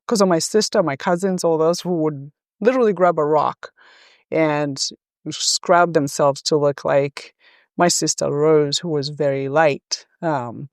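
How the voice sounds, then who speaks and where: Smooth-voiced middle-aged woman, hesitant. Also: low-pitched, no room sound, clean studio sound. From Rwanda, raised in Uganda. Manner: thoughtful, hesitant with many ums. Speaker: interview guest, gender professional. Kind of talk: conversational interview.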